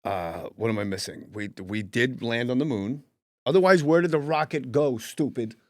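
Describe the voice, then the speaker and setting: A man's voice: mid-range voice, normal speed, darkish tone, no room sound. Brief: middle-aged male comedy podcast host, crude and mocking, dry close sound. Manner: profane, mocking, blunt. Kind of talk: casual comedy podcast chat.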